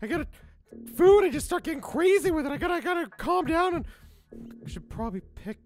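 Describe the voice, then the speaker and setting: A man's voice: very high voice, expressive, quick pace, soft background music. A man playing a flustered, exasperated teacher who stammers and interrupts himself, in an improvised comic cartoon style. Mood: flustered, awkward. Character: flustered, exasperated male teacher hunting for his costume. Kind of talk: improvised comic character acting.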